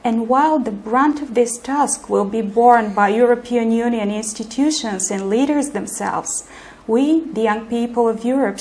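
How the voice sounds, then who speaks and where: A woman giving scripted narration in a measured, formal tone. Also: fairly high pitch, darkish tone.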